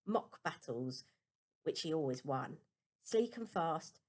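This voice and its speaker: A woman reading a children's novel aloud as a first-person story, with expressive, deliberate emphasis. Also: deep voice. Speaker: amateur audiobook reader.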